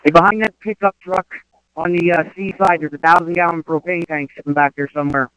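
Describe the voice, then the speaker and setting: A man's voice: on the high side, steady tone, fairly quick, fairly bright tone, smooth, no echo. A man reporting as a fire captain over a two-way radio. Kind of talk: radio dispatch traffic, status reports. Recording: muffled fire radio transmission.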